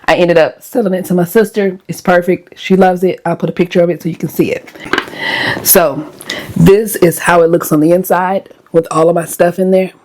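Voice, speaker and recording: A woman with a hoarse voice sits a little low in pitch as she chats easily with her audience. She talks straight to viewers in a relaxed, conversational vlog style. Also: moderate pace, slight background noise. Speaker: lifestyle vlogger. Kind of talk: casual chat to viewers.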